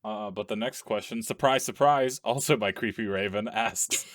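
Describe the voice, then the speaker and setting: A man's voice: lowish voice, normal speed, fairly bright tone, no echo, studio quality. A man in casual group banter with a teasing, wry way of talking. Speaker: guest castmate.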